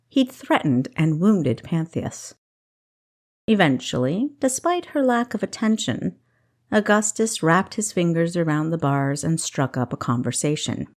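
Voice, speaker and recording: A woman narrating fiction as a scripted, expressive audiobook read. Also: lowish voice.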